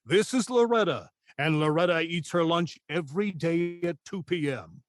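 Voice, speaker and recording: A man's voice: a little high, normal speed, dry recording, clean studio sound, pitched above the performer's own voice, close to an everyday voice. A man playing a classic commercial announcer with a polished, exaggerated, solicitous delivery. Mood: grandly theatrical.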